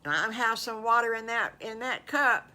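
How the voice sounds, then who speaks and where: A woman voicing an excitable, tender-hearted young orphan wolf in a children's story, with exclamations and repeated words. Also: fairly high pitch, moderate pace, voice pushed up. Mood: delighted.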